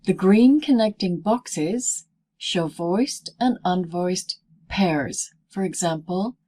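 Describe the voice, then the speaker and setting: Slow, deliberate woman teaching pronunciation. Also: fairly low pitch, lively intonation, mellow. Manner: deliberate, clearly segmented teaching delivery. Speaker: pronunciation teacher presenting a video lesson. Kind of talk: scripted instructional narration in easy English.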